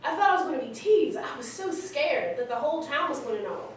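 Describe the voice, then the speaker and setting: A woman's voice: high-pitched, very animated, fairly quick. A woman playing a candid, informal thirty-something daughter reminiscing conversationally in a staged reading. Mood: animated recollection. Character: candid, teasing thirty-three-year-old suburban daughter. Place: live staged reading in a large room. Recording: echoey, noisy hall from far off.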